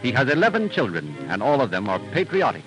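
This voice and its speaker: A man's voice: medium pitch, wildly swooping pitch, fast talker, over music, poor audio. A man narrating a scripted 1930s radio show as its announcer, in a dramatic, emphatic style on an old recording.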